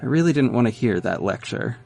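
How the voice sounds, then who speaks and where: A man's voice: medium pitch, animated, fairly quick, gravelly, dark tone. A man playing a wry, bewildered masked vigilante detective, narrating his own story in an audio drama with dry self-questioning. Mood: wry recounting. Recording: faint music under the voice.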